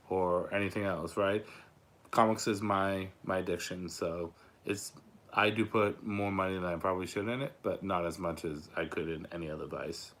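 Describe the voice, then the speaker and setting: Slightly raspy, low-pitched young man chatting casually. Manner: frank, sweary, rambling storytelling. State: losing his voice after being sick. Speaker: host of a live Q&A stream. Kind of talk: casual chat to online viewers. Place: a room upstairs at home. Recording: clearly audible background noise.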